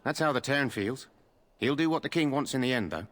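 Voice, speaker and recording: Actor as a formal, gossipy royal guard. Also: male voice, mid-range voice, lively intonation, fairly quick, a bit bright, lightly acted. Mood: dutiful, confiding. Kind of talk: scripted fantasy video game dialogue.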